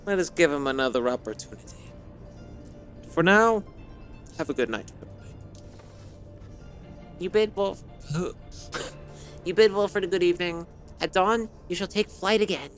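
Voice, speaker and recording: A slightly hoarse man streaming a game, reading its story text aloud and then chatting casually, rambling and correcting himself with many fillers. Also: high voice, lively intonation, normal speed, pauses often, faint music behind.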